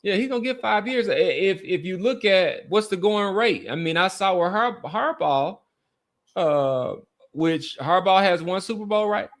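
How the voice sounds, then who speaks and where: A man with a fairly high voice hosts a casual sports discussion. He is emphatic and tends to repeat key phrases to drive a point home. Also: lively intonation, measured pace. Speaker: sports talk podcast host.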